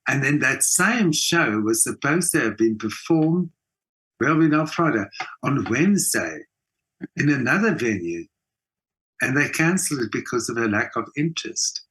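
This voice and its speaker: A man's voice: medium pitch, big pitch swings, normal speed, fairly bright tone, slightly rough, poor audio. A South African man speaking emphatically as a conversational interview guest, repeating phrases for stress. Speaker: interview guest, theatre performer and mentor.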